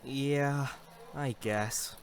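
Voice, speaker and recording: A man's voice: mid-range voice, fairly even intonation, very slow, clean tone. A man acting the part of a stubborn, proud younger brother in an audio drama, earnest and a little sheepish.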